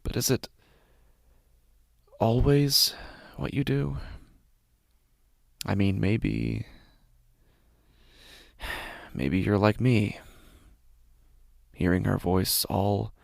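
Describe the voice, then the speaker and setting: Man playing a creaky-voiced, anxious, obsessive father. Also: lowish voice, expressive, no room sound, studio quality, exaggerated voice. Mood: anxious, unsettled. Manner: hesitant, halting, intense, sighing. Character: devoted, anxious, obsessive father of a young daughter. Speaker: narrator of a horror monologue. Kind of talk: dramatic first-person horror audio drama monologue.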